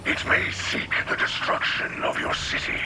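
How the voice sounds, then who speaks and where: A man voicing a solemn, devout alien mentor in a video game, with a breathy rasping whisper and formal, deliberate delivery. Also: heavy background noise, natural voice. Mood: urgent, solemn. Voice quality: breathy, gravelly whispered rasp. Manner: slow, reverent, formal, deliberate. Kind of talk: scripted video game dialogue.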